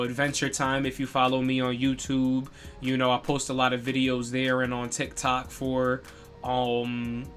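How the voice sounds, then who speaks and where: A young man hosts a live gaming stream in a loose, conversational way. He talks at an easy pace, full of casual fillers, with a voice in the middle of the male range. Faint music plays softly behind him. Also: medium pitch. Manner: laid-back, chatty, frequent "you know" fillers. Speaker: streamer and podcast host.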